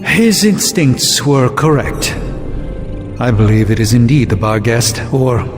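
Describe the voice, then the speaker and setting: A man playing a courteous, grave sorcerer scholar in a video game, speaking in formal, measured phrases. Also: mid-range voice, lively intonation. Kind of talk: dramatic video game cutscene acting. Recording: long hall reverb, music underneath.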